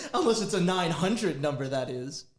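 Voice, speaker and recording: A woman's voice: on the low side, sing-song, measured pace. A woman playing a quippy, indignant young student. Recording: echoey room, faint noise.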